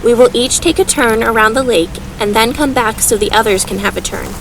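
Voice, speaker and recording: Young female mage leader, acted by a woman: highish voice, projected, firm and earnest, audio drama, faint noise behind. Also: brisk. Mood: firm, instructing. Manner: projected, clear instructing, calling out to a group.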